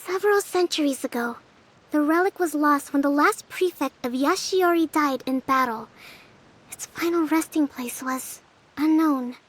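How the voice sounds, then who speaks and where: A woman playing a composed, thoughtful young female general in an anime-style game, measured and polite as she explains. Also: high-pitched, natural voice, poor audio. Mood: explaining.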